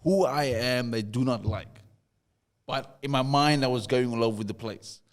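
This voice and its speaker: A man's voice: medium pitch, moderate pace. A South African man co-hosting a casual conversation, telling a story and often repeating words as he starts a thought. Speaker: podcast co-host.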